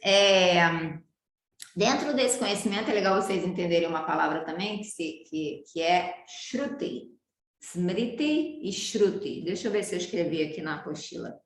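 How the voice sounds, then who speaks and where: A woman with a Brazilian accent teaching a yoga class over a video call. She talks in an animated way, often turning to her students with questions. Her voice sounds roomy, as if she is speaking in an echoing space. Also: fairly low pitch, moderate pace, slight background noise. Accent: Brazilian Portuguese. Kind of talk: live online class lecture. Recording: roomy echo.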